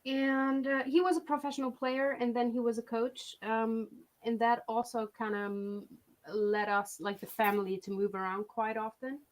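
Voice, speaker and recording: A woman's voice: fairly high pitch, level tone, normal speed. A young woman from Switzerland, a singer-songwriter chatting as a guest on a video-call interview, often restarting her sentences. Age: young adult. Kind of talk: casual interview chat.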